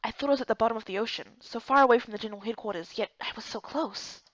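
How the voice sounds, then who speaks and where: A woman giving expressive first-person scripted narration of a fantasy story. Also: fairly high pitch, very fast, no room sound, muffled recording. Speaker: narrator and voice actor for a visual novel playthrough.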